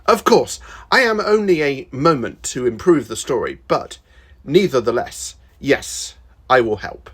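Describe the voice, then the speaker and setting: A performer voicing a flamboyant, self-aware magical male phantasm in an audio fan fiction, with theatrical, drawn-out exclamations. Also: medium pitch, expressive, husky. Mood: resigned, willing.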